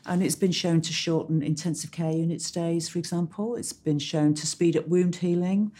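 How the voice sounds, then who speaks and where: A woman's voice: lowish voice, fairly even intonation, normal speed, warm tone, slightly rough. A woman co-presenting a discussion with a fellow doctor, enthusiastic, often ending points with tag questions. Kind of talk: conversational discussion.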